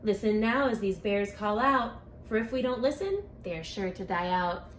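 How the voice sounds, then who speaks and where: A woman reading a rhyming picture book aloud for children, speaking expressively and deliberately, straight to her young listeners. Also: mid-range voice, animated. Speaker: children's book author and read-aloud presenter. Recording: echoey room with faint background noise.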